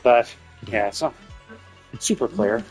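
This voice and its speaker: A man streaming a game, casually rambling and thinking out loud as he commentates. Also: medium pitch, sing-song, slow, faint music behind, rough recording. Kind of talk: casual live stream commentary, speculating aloud.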